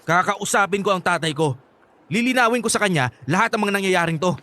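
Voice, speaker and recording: An actor with a Filipino accent playing an angry, wounded young son in a radio drama. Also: male voice, sits high, lively intonation, fairly quick, sharp tone. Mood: angry, accusing.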